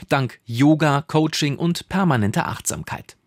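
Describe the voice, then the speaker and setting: A man narrating a scripted radio feature as a culture reporter, reading in an even, polished way. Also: on the high side, a little slow, no room sound, clean studio sound.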